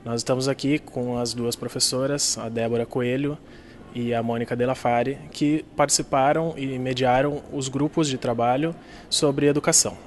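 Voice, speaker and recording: A man's voice: mid-range voice, steady tone, normal speed, slight background noise. A man hosting an interview, courteously introducing two teachers and asking them questions. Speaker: interviewer for a youth newspaper.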